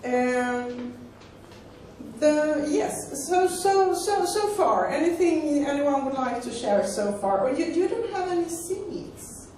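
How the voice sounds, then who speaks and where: A Swedish-accented woman in her forties gives a casual lecture, doubling back on words as she looks for the right one in English. Her voice sits fairly high. It was recorded from well back in a very echoey hall. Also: lively intonation, slow pace, darkish tone, distant mic, a little hiss or hum. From Sweden. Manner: conversational, repeats words while searching for them. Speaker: sex educator. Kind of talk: live lecture to an audience.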